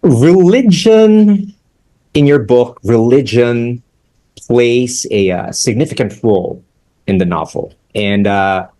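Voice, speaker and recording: A man hosting a book interview, asking halting questions with fillers. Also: medium pitch, expressive, mellow. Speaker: interview host.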